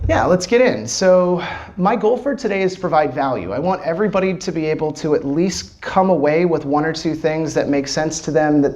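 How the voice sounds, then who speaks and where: American dude giving a loose chatty talk to a tiny crowd, lots of ums, swears a bit. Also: male voice, fairly high pitch, darkish tone. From Washington, D.C. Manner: loose and chatty, many fillers, swears freely. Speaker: startup founder giving a talk. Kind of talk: casual live presentation. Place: small room with a small live audience.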